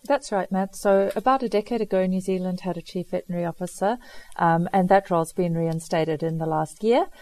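A woman with a South African accent, a chief veterinary officer answering interview questions in a measured, explanatory way. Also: lowish voice, darkish tone, dry recording.

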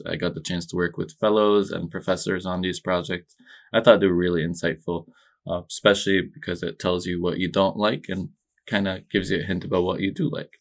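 A young man from Egypt, a podcast guest, giving calm and measured conversational answers about his path. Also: deep voice, gravelly.

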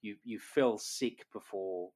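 A man hosts a relaxed chat podcast. He talks in a friendly, loose way, swears freely, and sums up what his guest has just told him. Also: fairly low pitch, slow. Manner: friendly, swears casually, recaps the guest's story. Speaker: podcast host. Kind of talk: casual conversational interview.

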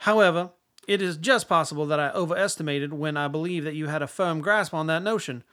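An actor playing a proud, cold government secretary in a radio play, speaking in pompous, clipped, formal sentences. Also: male voice, on the high side, fairly quick, fairly bright tone, lightly acted. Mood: cold rebuke.

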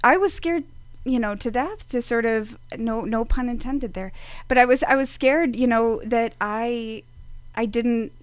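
A woman's voice: fairly high pitch, unhurried, no room sound. A woman, a psychic medium, chatting casually as a podcast guest, laughing often and restarting her sentences. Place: studio.

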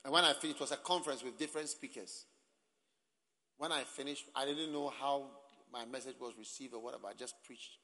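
A man with a Ghanaian English accent preaching a sermon at a church camp, swinging from soft asides to shouting and calling out to the crowd for answers. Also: mid-range voice, brisk. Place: large hall. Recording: long reverb of a large hall.

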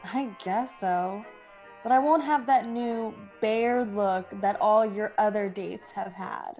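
A woman's voice: medium pitch, takes it slowly, darkish tone, faint music behind. An actress playing an eager, frank eighteen-year-old college girl in a classic radio play, earnest and girlish. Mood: shy.

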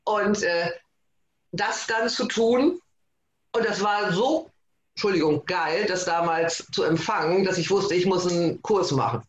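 A man from East Frisia, a guest in a casual interview, talking in an excited, bubbly way. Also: sits high, measured pace, reverberant, highs cut off, a little hiss or hum. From East Frisia, Germany. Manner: excited, bubbly, self-described as overexcited. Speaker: guest, course facilitator.

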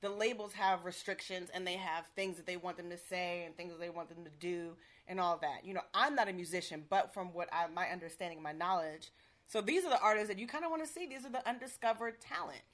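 A woman's voice: fairly low pitch, brisk, a bit bright. A woman hosting a casual live-streamed interview, chatty and often affirming with mm-hmm. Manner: chatty, frequent affirming mm-hmms. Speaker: livestream interviewer.

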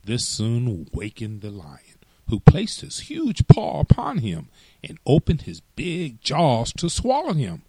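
A man hosting a storytelling podcast, reading children's fables in an expressive storybook style. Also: medium pitch, slow, breathy edge, no echo.

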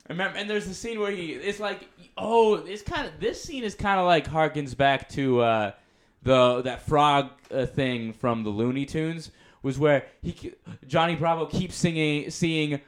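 A man playing a vain famous male movie star in a deadpan comedy bit, rambling and giggling. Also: on the high side, unhurried. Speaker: guest.

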